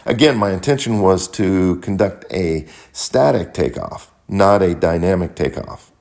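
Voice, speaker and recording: A man who works as a pilot and mentor, narrating a scripted explanation in a measured, instructional manner. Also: low-pitched, lively intonation, creaky, darkish tone. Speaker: pilot and flight mentor, video host.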